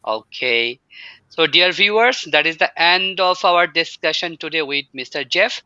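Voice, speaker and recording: A man hosting an interview, asking questions in halting, non-native English. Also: on the high side, expressive, pushed and bright, clear, resonant tone, no room sound, muffled recording. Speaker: host.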